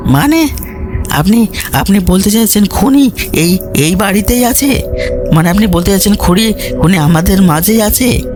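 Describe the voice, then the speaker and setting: Audio-drama colonel, acted by a male narrator: pitched up, anxious, stammering, easily astonished, music underneath. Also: measured pace. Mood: alarmed. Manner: stammering, repeats his questions.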